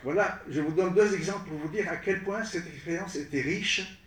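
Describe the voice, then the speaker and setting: Man, first language arabic, halting and repetitive, fairly high voice, roomy echo. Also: distant mic. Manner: halting, with many repeated words and restarts. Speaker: guest speaker recounting film work. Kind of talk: spoken recollection to an audience. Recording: echoey room, voice from off-mic.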